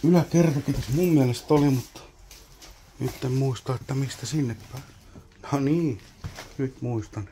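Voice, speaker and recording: A man's voice: mid-range voice, pauses often, dark tone, a little hiss or hum. Man muttering through an abandoned cabin. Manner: muttering, wondering aloud while poking around. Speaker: abandoned-places explorer. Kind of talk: casual exploration vlog commentary. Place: abandoned wooden cabin, indoors.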